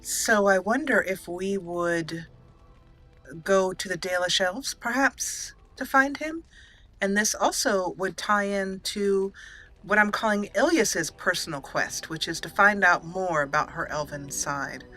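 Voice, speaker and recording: A woman's voice: mid-range voice, a little slow, sharp tone, faint music behind. A woman hosting a video game let's play, narrating the roleplay and reading dialogue aloud with expression. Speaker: gaming channel host.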